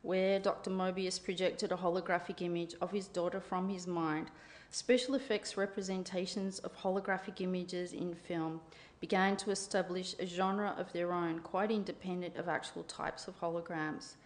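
A woman reading out an academic paper at a conference in a formal, measured way, then answering questions with effusive thanks. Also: lowish voice, steady tone. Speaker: conference speaker.

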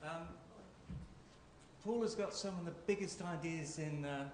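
High-voiced man chairing, echoey hall. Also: measured pace, darkish tone. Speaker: session chair. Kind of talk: chairing a conference session. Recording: echoey hall, clear room noise.

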